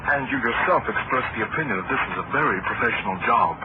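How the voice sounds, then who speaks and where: An actor playing a nervous, defensive hospital doctor, earnest and protesting, in old-time radio drama style. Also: male voice, medium pitch, animated, quick pace, close to an everyday voice. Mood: accusing. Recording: dull, very noisy old radio recording.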